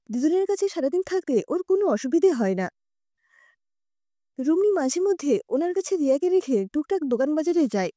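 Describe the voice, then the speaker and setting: A woman narrating a scripted audio story in an expressive, conversational reading. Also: high-pitched, no echo, studio quality.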